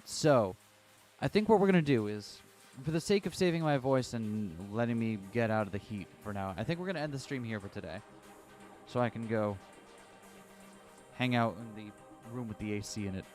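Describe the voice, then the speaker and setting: A man streaming a game from a hot room at home, reading the story aloud and chatting loosely, his voice turning a little raspy. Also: medium pitch.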